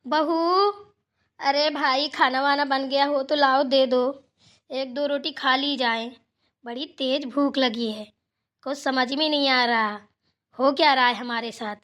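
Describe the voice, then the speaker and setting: A woman's voice: high voice, fairly even intonation, measured pace, a bit bright. A woman voicing a grumbling but caring elderly village mother-in-law in a colloquial, fussing Hindi cartoon family drama. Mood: grumbling, hungry.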